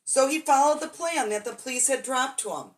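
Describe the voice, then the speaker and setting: A woman's voice: high-pitched, a little fast. A woman storyteller reading a children's bedtime story expressively, drawing out key words for dramatic emphasis.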